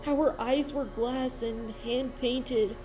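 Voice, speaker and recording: A woman's voice: high voice, fairly even intonation, slow pace, music in the background, rough recording. A woman giving a dramatic first-person reading of a horror story, with a suspenseful, emotive delivery.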